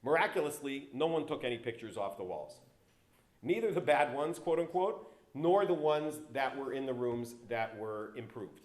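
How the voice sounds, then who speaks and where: A man with a low voice giving a guest talk at an event, telling business stories with big rises in pitch and volume on key words, sometimes stepping off the mic. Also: moderate pace. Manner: animated, jumps in pitch and loudness on key words. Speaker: guest speaker. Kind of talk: storytelling talk to an audience. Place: event hall with an audience. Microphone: handheld or stand mic, sometimes stepping away from it. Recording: roomy hall echo.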